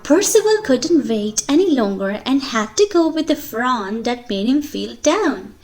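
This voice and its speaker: Female storyteller for kids' read-aloud, high register, very varied intonation, unhurried delivery. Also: faint music behind. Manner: slow, animated storytelling for young children. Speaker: children's story reader. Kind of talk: expressive picture-book read-aloud.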